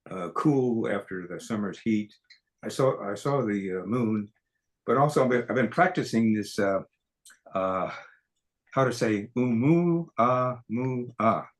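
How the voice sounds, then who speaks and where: A man musing slowly on a video call, hesitant with many fillers. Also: mid-range voice, animated. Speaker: meeting participant. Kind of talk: casual chat on a video call.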